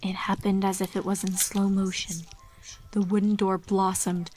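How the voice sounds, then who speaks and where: A woman's voice: medium pitch, little pitch movement, moderate pace, darkish tone, a little hiss or hum. A woman giving a dramatic scripted narration of a horror story.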